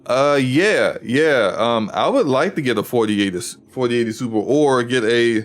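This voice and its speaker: A man with a deep voice chatting casually while he streams a video game, rambling and restarting his sentences. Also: moderate pace. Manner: rambling, relaxed, frequent restarts. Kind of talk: casual gaming livestream commentary.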